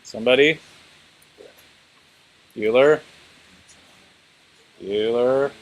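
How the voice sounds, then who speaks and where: A man's voice: medium pitch, extremely slow, dull audio. A man teaching a class informally, thinking aloud and prodding students with questions.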